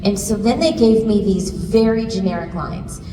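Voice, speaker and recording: A woman voice actor on a convention panel, telling a lively story with quick laughs. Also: medium pitch. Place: large convention hall. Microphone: handheld mic, recorded from far off in the audience. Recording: echoey hall recorded from far off, noisy.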